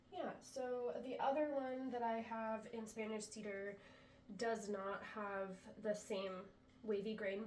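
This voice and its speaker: Woman flute maker, stuffy from a cold, relaxed product demo, recorded a bit off-mic in an echoey room. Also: mid-range voice, distant mic, slight background noise. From US Pacific Northwest. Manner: chatty, relaxed, many ums. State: congested after a chest cold. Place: indoor room, talking to camera.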